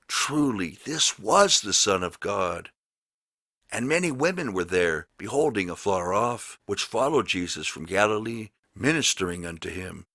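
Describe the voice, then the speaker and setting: A man with a fairly low, forceful voice reads old scripture aloud. His delivery is solemn and emphatic, each phrase placed deliberately. The sound is bright and dry, close and free of room. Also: dry recording. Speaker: Bible narrator. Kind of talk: dramatic scripture read-aloud of the King James Bible.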